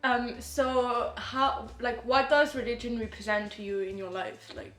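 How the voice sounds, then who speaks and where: A woman's voice: a little high, fairly even intonation, a little slow, echoey room, faint music behind. A woman from France hosting a podcast, reading a scripted welcome and then chatting casually with guests. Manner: reads a bright scripted welcome, then asks questions with many "like"s. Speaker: podcast host.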